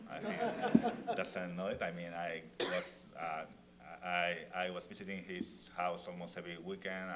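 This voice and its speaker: A man from Spain with a Spanish accent, speaking as a panelist to students, halting and full of fillers and restarts. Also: sits low, fairly bright tone, slight background noise. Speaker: festival panelist, comics author. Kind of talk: panel talk on stage. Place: university hall, in front of students. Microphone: lavalier clip mic.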